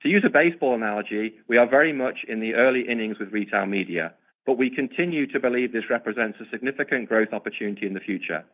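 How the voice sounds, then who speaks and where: A man's voice: mid-range voice, lively intonation, fast talker. Formal male executive on a tinny line. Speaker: company executive. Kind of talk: prepared corporate earnings remarks. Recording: narrow, tinny conference-call line.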